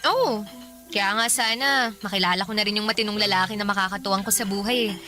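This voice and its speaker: A woman's voice: medium pitch, fast talker, bright, forceful tone, soft background music, lightly acted. An actress playing a candid young working woman with a Filipino accent, in conversational radio drama style. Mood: chatty, candid. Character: chatty young working woman, warm, candid, stands her ground.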